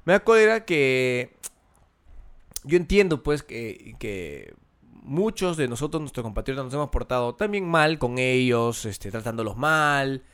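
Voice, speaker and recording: A man with a Peruvian accent, co-hosting a casual chat and talking in an animated, irritated way with many restarts. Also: medium pitch, a little slow, smooth. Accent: Peruvian Spanish. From Peru.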